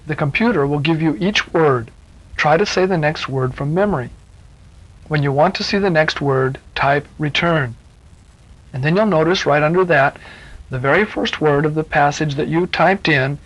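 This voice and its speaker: A man's voice: a little high, a bit bright, poor audio. A man giving a patient, encouraging spoken tutorial as an instructor, explaining each step plainly on a 1970s educational cassette.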